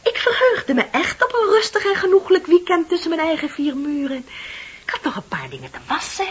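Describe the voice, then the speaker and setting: An actress in a radio play voicing a middle-aged single woman, gushing, dramatic and romantically deluded, confiding in breathy swells. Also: extremely high pitch, sing-song, fairly quick, a little hiss or hum. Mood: earnest, overwrought. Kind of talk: Dutch radio play acting. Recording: dull, lo-fi radio play recording.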